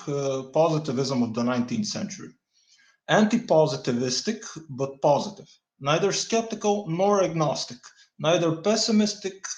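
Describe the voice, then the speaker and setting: A man whose first language is Bulgarian reads a dense text aloud in a slightly high voice, halting and restarting words as he goes. He breaks off now and then with deadpan, wry remarks. The recording sounds roomy and echoey. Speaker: channel host reading a text aloud. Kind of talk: halting read-aloud with dry asides.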